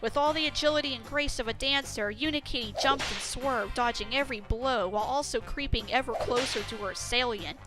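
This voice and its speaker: A woman reading a scripted story as narrator, with an expressive, dramatic storytelling delivery. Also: a little high, fairly quick, hardly any pauses, music in the background.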